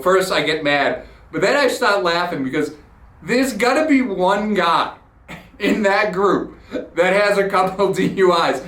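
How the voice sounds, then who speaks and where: A man's voice: high voice, fairly bright tone. A man hosting a podcast, telling a blunt, profane, sarcastic story in a ranting monologue. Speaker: podcast host. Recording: roomy echoey room.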